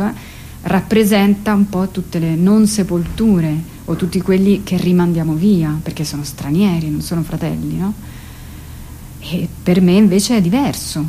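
Mellow, lower-voiced woman, thinking aloud. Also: slight background noise. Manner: hesitant, searching, thinking aloud. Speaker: guest, theatre artist and author. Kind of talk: radio interview, reflective conversational talk. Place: radio interview.

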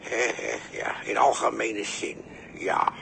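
A folksy beer-drinking bystander in an old sixties radio play, with a gravelly, lowish voice that rises and falls freely. He is evasive and callously matter-of-fact, talking in halting, colloquial bits over a noisy vintage recording. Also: fairly even intonation, fairly bright tone, full character voice. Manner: halting, colloquial, with tags and repeats. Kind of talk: 1960s Dutch radio drama acting.

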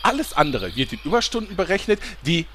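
A man's voice: a little high, big pitch swings, fast, a bit bright, a little hiss or hum. A man playing a nagging, self-pitying cook and henchman in a fantasy parody radio play, whining and pedantic. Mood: pedantic, aggrieved.

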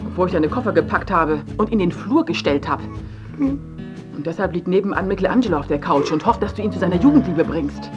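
A woman's voice: fairly low pitch, animated, a little fast, muffled recording, over music, lightly acted. An actress playing a stern, exasperated ex-girlfriend in a radio drama, with a cutting, sarcastic manner.

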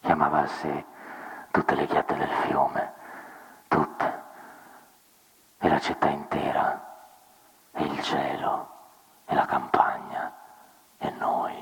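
Low, slow whispering man reciting. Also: level tone. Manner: hushed, sparse phrases with long pauses. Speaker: actor reading from a novel on stage. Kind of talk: dramatic literary recitation. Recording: live hall reverb, faint audience.

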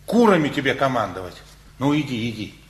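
An actor playing a bossy middle-aged uncle in a radio comedy, blunt, mocking and sarcastic. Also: male voice, mid-range voice, sing-song, fairly quick, close to an everyday voice. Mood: brusque, scornful. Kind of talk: Russian radio comedy play acting.

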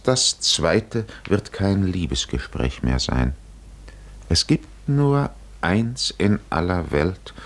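An actor playing a brooding male storyteller in a 1950s radio play, speaking in a solemn, deliberate, literary way. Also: sits low, heavily acted. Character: grave male storyteller addressing Odysseus, brooding. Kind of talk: 1950s radio drama narration.

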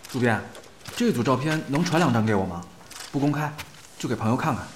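A man's voice: mid-range voice, animated, brisk, background noise, lightly acted. An actor playing a polite, smitten young male film star in a Mandarin audio drama, speaking hesitantly. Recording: loud music behind the voice.

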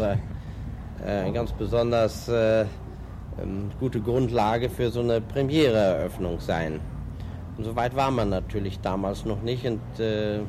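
Male expert, low voice, spontaneous explanation, hesitant delivery, rumbling background noise. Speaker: interviewed expert on rocket history.

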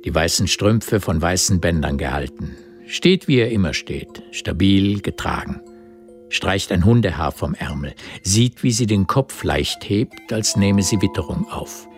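A man narrating a radio drama in a measured, terse literary style. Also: sits low, expressive, soft background music. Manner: measured, terse present-tense storytelling.

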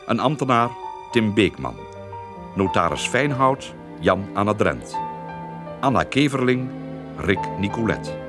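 A male radio announcer with a fairly low voice reads a cast list over clear music. His delivery is slow and formal, with a very varied intonation and deliberate pauses. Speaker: announcer reading radio drama credits. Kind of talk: scripted broadcast announcement. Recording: prominent music with the voice.

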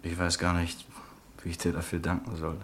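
A man's voice: low-pitched, monotone, fairly quick, lightly acted. An actor playing a dreamy man who has lost his fortune, speaking in a wistful, hesitant way.

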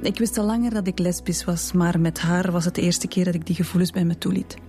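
Radio drama: actress as reticent, dignified female suspect, lowish mellow voice, light music underneath. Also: fairly even intonation, quick pace, natural voice. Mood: guarded, resolute. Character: guarded, dignified married woman under questioning. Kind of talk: Belgian radio police drama acting.

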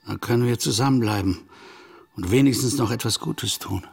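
Ein Schauspieler spielt in einem Hörspiel einen ernsten Mann mit knarrender, belegter Stimme. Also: male voice, lowish voice, normal speed, gravelly, breathy edge. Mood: earnest resolve. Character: earnest man pledging marriage and togetherness. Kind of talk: German radio play acting.

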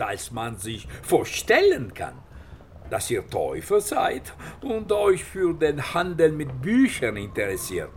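An actor in a radio drama playing a shrewd, persuasive travelling Italian bookseller, with an Italian accent and a theatrical, emphatic delivery. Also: male voice, medium pitch, slight background noise, exaggerated voice. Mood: sly, probing. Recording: studio radio drama with loud music behind.

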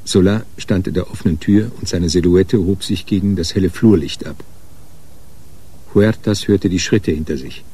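A man narrating a radio crime drama from a script in a measured, sober way. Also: sits low, warm tone, breathy edge.

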